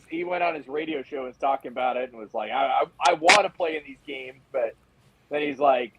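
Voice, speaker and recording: An American man giving casual sports analysis as a reporter guest, in a halting, conversational way. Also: mid-range voice, noisy background.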